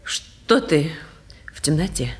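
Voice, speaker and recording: A woman playing a wounded, resigned woman in a 1950s Russian stage drama, speaking in a husky, hushed, halting way. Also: low voice, lively intonation, heavily acted. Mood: startled, uneasy. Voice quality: husky, breathy.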